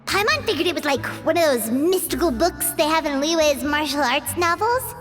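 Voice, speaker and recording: A woman's voice: high-pitched, expressive, moderate pace, a bit bright, soft background music. A woman voicing a tiny, childlike fairy companion in a video game, chirpy, curious and exclamatory. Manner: refers to self in third person, exclamatory. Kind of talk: animated video game voice acting.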